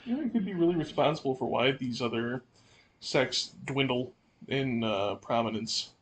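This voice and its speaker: Over faint background noise, a man with a medium-pitched voice co-hosts a podcast. He chats casually, offering thoughts and putting questions to his partner. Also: expressive, moderate pace, poor audio. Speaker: podcast co-host. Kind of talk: casual discussion, posing questions.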